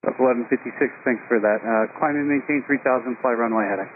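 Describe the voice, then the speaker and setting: A man's voice: mid-range voice, fast. A man working as an air traffic tower controller, giving clipped instructions over aviation radio. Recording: tinny narrowband radio with faint static.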